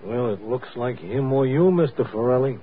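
Husky tough henchman, obedient gangster type, tinny old radio. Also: mid-range voice, lively intonation, normal speed. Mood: gruff, wary. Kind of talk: 1940s radio acting. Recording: tinny 1940s radio recording.

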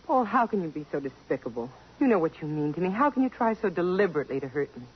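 Poised, expressive career woman, noisy old radio. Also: lowish voice, fast talker. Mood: indignant, defensive. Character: poised, proud young career woman, loyal in love. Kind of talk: 1950s radio drama acting. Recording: muffled, noisy vintage radio broadcast.

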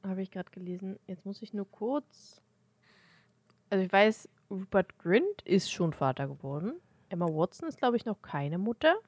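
A woman's voice: mid-range voice, expressive, normal speed, a little mellow, no echo. A woman co-hosting a podcast, chatting casually as a fan. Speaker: podcast co-host.

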